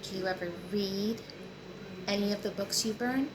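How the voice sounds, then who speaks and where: A woman's voice: mid-range voice, normal speed, natural voice. A woman voicing a chatty, guileless, inquisitive teenage girl from a novel in an audiobook reading. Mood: curious. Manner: inquisitive, earnest. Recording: roomy echo with clear background noise.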